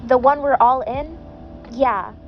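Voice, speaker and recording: A woman's voice: high voice, slow pace, muffled recording, faint music behind. A woman reading the lines of a caring, sarcastic teen girl. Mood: wry. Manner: read in the reader's own voice.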